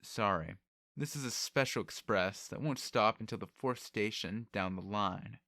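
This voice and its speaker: Guy doing an easygoing servant dude with a sword, teasing, slangy, lowish voice, audiobook. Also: measured pace, creaky, pushed and bright, dry recording, clean studio sound, exaggerated voice. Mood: amused, teasing. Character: laid-back, wry male servant with a sword.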